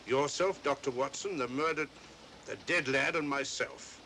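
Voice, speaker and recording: An actor playing a sceptical police inspector, blunt and questioning, in 1940s mystery film style. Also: male voice, mid-range voice, unhurried, bright, forceful tone. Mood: suspicious, reasoning. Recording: noisy old film soundtrack.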